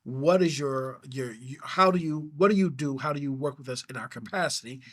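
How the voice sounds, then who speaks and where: A man's voice: medium pitch, fairly quick, a bit bright. A man hosting a school interview, effusive and full of praise and affirmations. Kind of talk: interview host.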